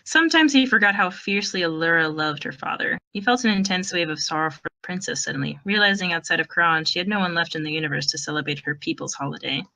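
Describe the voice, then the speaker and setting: A woman narrating a piece of fan fiction in an expressive storytelling style. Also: lowish voice, fast, creaky, hardly any pauses, poor audio.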